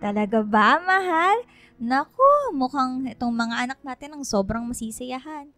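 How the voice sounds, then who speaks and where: Radio drama mom, Filipino accent, high and very animated, eager, supportive wife. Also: female voice, normal speed, no room sound. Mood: excited. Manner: exclamatory, eager. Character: lively, supportive wife and mother. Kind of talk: radio drama acting, animated family talk. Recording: dry voice with music bed.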